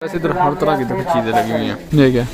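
A man's voice: mid-range voice, fast talker, over a noisy crowd. Young man, Lahori Pakistani accent, casual vlog chat, jokey slang, frequent exclamations, outdoor market recording. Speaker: vlogger. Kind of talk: casual vlog chat, showing things to viewers. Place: outdoors in a busy street market.

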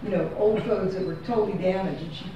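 A woman's voice: medium pitch, quick pace, muffled recording. A woman photographer giving an unscripted gallery talk, rambling and correcting herself as she goes, recorded by a far camera in a small gallery room. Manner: rambling, self-correcting, chatty. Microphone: far room camera, no microphone. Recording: very echoey room from far off with background noise.

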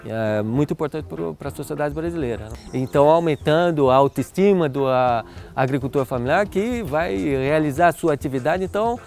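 A man's voice: a little high, moderate pace, vocal fry, hardly any pauses, a little mellow. A man giving a formal, thoughtful interview answer with some hesitations.

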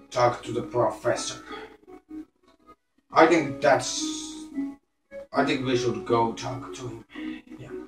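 A man voicing a blunt young cartoon hedgehog hero in an improvised game parody, with a halting delivery. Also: mid-range voice, lightly acted. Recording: roomy recording over game music.

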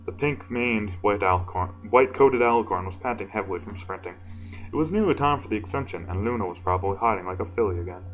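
A man with a deep voice reading fan fiction casually, informal and self-deprecating, stumbling now and then. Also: quick pace, soft background music, low-quality recording. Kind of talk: casual amateur story reading with asides.

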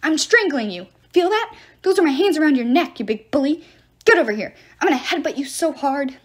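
A fussy male god trapped in a statue as a woman reader acts him out for a storybook. The voice is fairly high, indignant and officious, complaining like a stickler for rules. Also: sing-song, moderate pace. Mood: furious, shrieking. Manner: officious, shrieking, rule-obsessed complaining.

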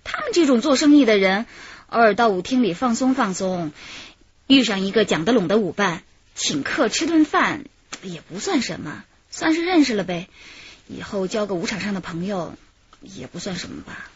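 A woman's voice: medium pitch, sing-song, moderate pace, slightly rough. An actress playing a chatty, flirtatious and defensive dance-hall woman in a radio drama, a coy way of talking. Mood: defensive, recounting. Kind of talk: radio drama dialogue and recounting. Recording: slightly muffled, music underneath.

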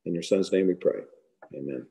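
A middle-aged man giving an earnest devotional talk to a men's group and closing in prayer, often trailing off softly at the ends of lines. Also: low-pitched, a little fast. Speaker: guest speaker. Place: large hall. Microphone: camera close below the face.

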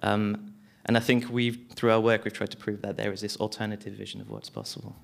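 A man's voice: on the low side, flat delivery, a little fast, vocal fry. Hesitant young man on a panel. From London. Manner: hesitant, many restarts and ums. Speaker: community campaigner. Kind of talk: panel discussion. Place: small hall, on stage. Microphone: handheld mic.

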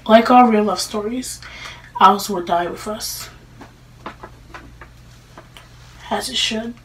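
Grieving teen girl in love woman performer, dramatic monologue, halting emotional delivery, mid pitch, faint room noise. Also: far from the mic, natural voice, rough recording. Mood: sorrowful, tender. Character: grieving, lovestruck teenage girl giving a eulogy.

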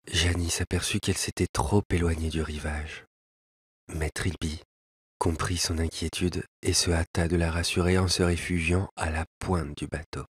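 A man reads an old fairy tale aloud in a measured, lyrical way. His voice is deep and very breathy, recorded close and dry, with a soft piano playing underneath. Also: little pitch movement, no echo. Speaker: audiobook narrator. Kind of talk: literary tale reading.

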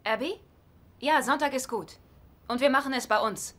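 An actress playing a crafty, wry wife who keeps up polite manners in a dubbed sitcom. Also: female voice, high voice, normal speed, fairly bright tone. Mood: polite, friendly.

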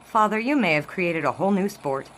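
An actress playing a quick, dry-witted woman in a 1920s newspaper office audio drama. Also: fairly low pitch, expressive, fairly bright tone, slight background noise.

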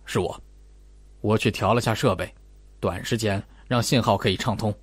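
A man voicing a cold, terse, scheming policeman in an audiobook, speaking low and matter-of-fact. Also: normal speed, husky, dry recording. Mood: weary, low.

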